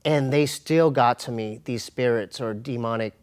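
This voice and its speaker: An American man telling a personal story as an interview guest, in a casual, slangy and halting but emphatic way. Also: medium pitch, darkish tone, smooth. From United States. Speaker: interview guest, comedian and hip-hop artist.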